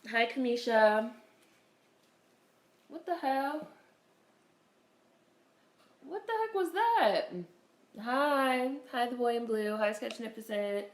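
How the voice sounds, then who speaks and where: A woman's voice: on the high side, takes it slowly, pauses often, echoey room. Congested woman chatting on a livestream. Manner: chatty, relaxed, swears casually. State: congested with a cold. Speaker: livestream host. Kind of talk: casual livestream chat greeting viewers.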